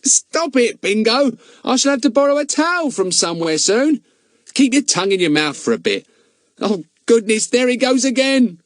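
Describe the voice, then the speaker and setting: A man reading an audiobook, voicing a good-natured but fussy boy who exclaims in a high, flustered voice. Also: very animated, moderate pace, no echo, studio quality. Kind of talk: audiobook character voice.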